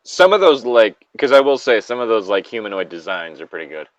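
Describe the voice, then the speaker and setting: A man's voice: fairly low pitch, sing-song, a little fast, no echo, highs cut off. A man in a casual, joking group chat, with a crude, profane way of talking.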